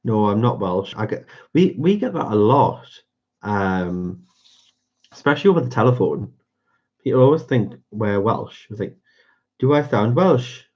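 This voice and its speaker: A man's voice: fairly low pitch, sing-song, moderate pace, a little mellow. A man with a North East England accent, chatting casually as host of a colouring livestream, rambling and hesitant with many fillers. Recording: dull lo-fi sound.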